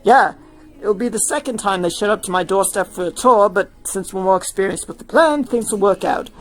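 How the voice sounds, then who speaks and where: An easygoing young rock star boyfriend voiced by a woman, supportive and gently coaxing. The delivery is casual story reading, with music behind it. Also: medium pitch, a little fast, lightly acted. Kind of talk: fan fiction reading.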